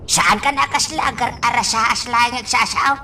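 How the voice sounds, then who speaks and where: A woman playing an excitable, teasing village elder in an Ilocano radio drama, with folksy exclamations and a swooping delivery. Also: fairly high pitch, normal speed, a little hiss or hum. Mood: teasing, amazed.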